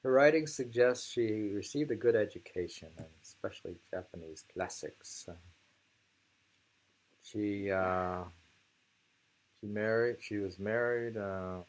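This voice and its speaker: Man, very deep pitch, unhurried pace, halting and musing, informal poetry reading and discussion. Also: poor audio. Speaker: host reading and discussing haiku. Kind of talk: casual discussion with poetry read aloud.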